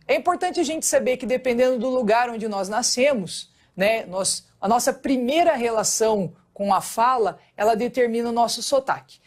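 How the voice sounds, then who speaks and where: A woman teacher speaks in the accent of São Paulo state, her R strongly rolled. Her voice sits slightly higher than most. She explains things patiently in a recorded lesson, pausing often to check that her students follow. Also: darkish tone. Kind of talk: recorded online class lesson.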